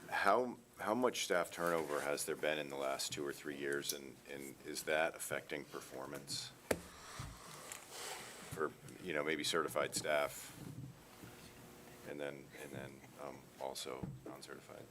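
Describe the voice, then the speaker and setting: Very deep halting board member. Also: male voice, steady tone, background noise. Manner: halting, lots of ums. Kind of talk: asking questions at a board meeting. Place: public meeting room.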